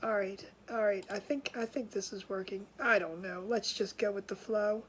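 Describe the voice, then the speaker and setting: A woman's voice: medium pitch, fairly even intonation, normal speed, a little hiss or hum. A woman hosting a casual craft livestream from home, sounding nasal and congested, chatty and scattered, with frequent laughs and restarts.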